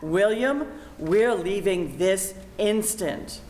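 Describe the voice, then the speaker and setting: A woman's voice: mid-range voice, expressive, takes it slowly, a little mellow. An older woman playing a haughty, domineering matron in 1940s radio comedy style. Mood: indignant. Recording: echoey room.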